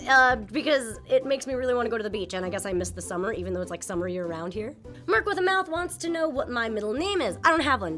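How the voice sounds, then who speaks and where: A woman's voice: fairly high pitch, a bit bright. A young woman from Japan, a native Japanese speaker, chatting upbeat in English as she answers viewer questions in a casual vlog. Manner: chatty, upbeat, with small laughs and fillers.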